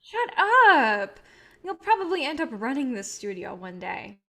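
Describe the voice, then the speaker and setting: Woman playing a higher-voiced, encouraging young director. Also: sing-song, measured pace, bright, forceful tone, full character voice. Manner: casual, direct. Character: friendly, encouraging young female film director. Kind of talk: staged reading of a play.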